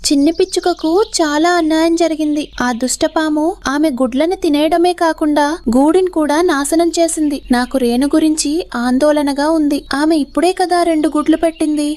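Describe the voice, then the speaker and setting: Woman playing gossipy female pigeon, cartoon, very high voice, concerned, confiding. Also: fairly even intonation, brisk, few pauses, darkish tone, lightly acted. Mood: worried.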